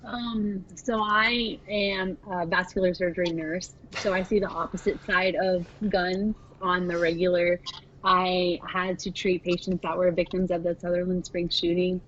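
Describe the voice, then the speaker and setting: A woman's voice: lowish voice, little pitch movement, measured pace, a bit bright, dull audio, slight background noise. A woman who works as a nurse, a podcast guest explaining her views somewhat hesitantly. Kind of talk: casual podcast chat.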